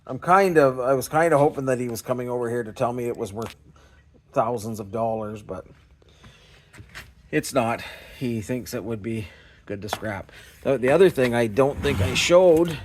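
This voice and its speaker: A Canadian man talking in a casual, unscripted vlog style, rambling and hesitant in a self-effacing way. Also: medium pitch. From Canada, small-town Ontario. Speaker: scrap recycling vlogger.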